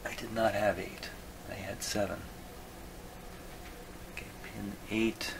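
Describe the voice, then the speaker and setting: Male repair-video host, very low creaky voice, unhurried and halting, talking himself through bench work over clear background noise. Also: level tone. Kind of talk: unscripted hands-on demonstration, thinking aloud. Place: radio repair workshop.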